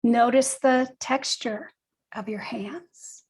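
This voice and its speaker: An older woman guides a meditation in a slightly high voice with plenty of creak. She speaks very slowly and gently, leaving long pauses between short phrases. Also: steady tone. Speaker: guided meditation teacher, nurse and educator.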